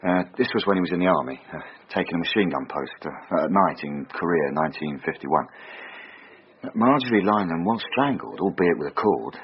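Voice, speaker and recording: Low-voiced hesitant junior detective. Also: male voice, lively intonation, brisk. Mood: dutiful, hesitant. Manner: hesitant, matter-of-fact. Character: hesitant, dutiful male junior police detective. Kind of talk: naturalistic radio drama acting. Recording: muffled radio recording with noise.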